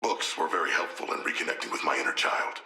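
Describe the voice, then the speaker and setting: Very deep, forceful, erudite shark villain. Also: male voice, roomy sound, exaggerated voice. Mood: earnest, thoughtful. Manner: formal, eloquent, measured, deadpan diction. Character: hulking, erudite, gentle yet proud humanoid shark villain. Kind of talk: video game character voice lines.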